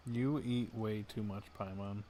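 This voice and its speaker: A man's voice: fairly low pitch, steady tone, a little slow, rough recording. Laid-back man streaming over game music. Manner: musing, laid-back rambling. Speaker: livestream gamer. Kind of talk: casual game commentary.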